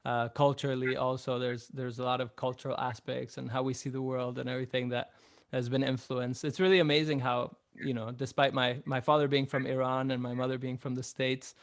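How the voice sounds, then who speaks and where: A young man raised in Chile, speaking as a musician guest in an interview. He answers at a relaxed, reflective pace with many ums and uhs, in a mid-pitched voice. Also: brisk.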